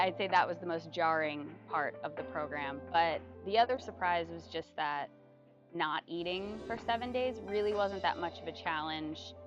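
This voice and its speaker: A young woman in a casual testimonial interview, chatty, with fillers and soft laughs. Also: on the low side, muffled recording. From United States. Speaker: retreat guest giving a testimonial. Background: background music.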